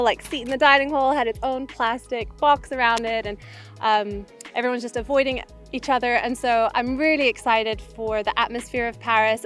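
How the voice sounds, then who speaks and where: A young woman athlete from Uganda, speaking in a relaxed, reflective way with casual fillers in a documentary interview. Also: a little high. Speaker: Olympic rower.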